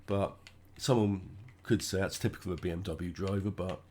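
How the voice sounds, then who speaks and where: A man with a cold, sounding croaky and stuffed up, chatting casually through his own racing gameplay. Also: low voice, normal speed, slight background noise. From United Kingdom. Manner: relaxed, chatty, thinking aloud while driving. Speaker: gaming channel host.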